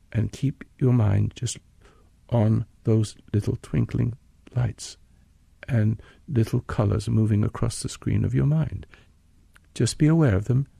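Male meditation guide: husky, dark-toned, very close and dry, plain-spoken, halting instructions. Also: on the low side, no echo. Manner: measured, plain-spoken, pausing mid-sentence.

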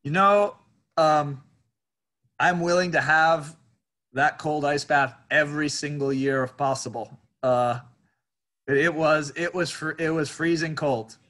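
A male college soccer head coach answering questions at a press conference, haltingly, repeating words as he thinks aloud. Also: on the high side, fairly even intonation, fairly bright tone.

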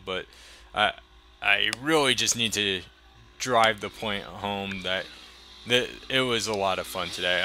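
A young man talking casually over his riding footage as a motovlogger, relaxed and enthusiastic, a little rambling. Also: fairly low pitch, slight background noise.

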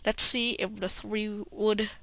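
A woman's voice: mid-range voice, fairly even intonation, takes it slowly, a bit bright, a little hiss or hum. A woman hosting a game playthrough, rambling and often dropping to a mumble. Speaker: let's play host. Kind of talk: gameplay commentary.

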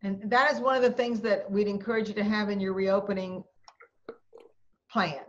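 A woman moderating a video call town hall, answering questions in a measured way with many fillers. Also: medium pitch, fairly even intonation, highs cut off.

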